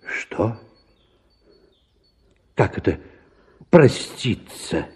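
A man's voice: fairly low pitch, big pitch swings, very slow, darkish tone, breathy edge, exaggerated voice. An actor playing an effusive, blustering middle-aged father of many daughters in a theatrical radio play. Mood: taken aback. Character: middle-aged father of seven daughters, fawning then indignant. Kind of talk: theatrical radio drama acting.

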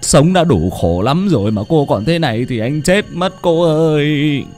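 A man's voice: a little high, lively intonation, a little slow, darkish tone, soft background music, voice pushed up. A man voicing a frightened young man who stammers and begs. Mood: begging. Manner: stammering, begging.

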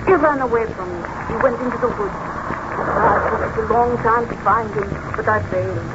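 A woman's voice: fairly high pitch, normal speed, lightly acted. A woman playing a panic-stricken, distraught servant in a 1930s radio serial, with a theatrical delivery. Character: panic-stricken female servant who lost a child. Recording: noisy, muffled 1930s radio recording.

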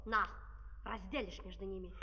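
An actress playing a haughty, stingy, tyrannical madam who runs a menagerie, curt and commanding, in a theatrical fairy-tale opera. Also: female voice, mid-range voice, steady tone, moderate pace, pushed and bright. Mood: imperious, irritated. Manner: imperious, curt, barking orders. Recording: distant, reverberant hall, old vinyl record.

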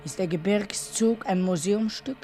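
A woman performing a solemn narrator in a radio drama, speaking in a deliberate, measured way with weighted pauses. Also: medium pitch, mellow, faint music behind, lightly acted. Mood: solemn, probing. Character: solemn female narrator pondering a violent, dreamlike image. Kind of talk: slow literary monologue in a radio drama.

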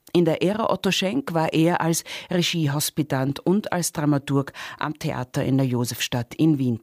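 Dry-sounding radio announcer, measured delivery. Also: medium pitch, darkish tone, no room sound.

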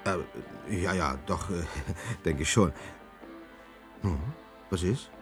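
An actor playing a wry, excitable scientist who lectures and hesitates by turns in a radio drama. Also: male voice, sits low, slow, over music. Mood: hesitant, explaining. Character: excitable male scientist and inventor, wry, professorial. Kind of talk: 1970s radio drama dialogue.

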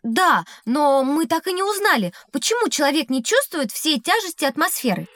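A woman's voice: high-pitched, sing-song, moderate pace, a bit bright, dry recording, studio quality. A woman playing a curious, clever schoolgirl in an educational audio drama, eager and articulate. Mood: eager, reasoning. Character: bright, curious schoolgirl pupil, quick to understand. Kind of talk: scripted audio drama dialogue.

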